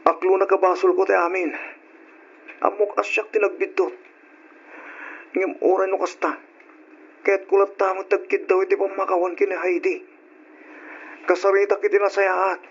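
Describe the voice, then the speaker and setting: An actor playing a hesitant, guilty young boyfriend in an old-fashioned radio drama. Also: male voice, high voice, little pitch movement, normal speed, long pauses, slight background noise. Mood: guilty, pleading. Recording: muffled old radio recording.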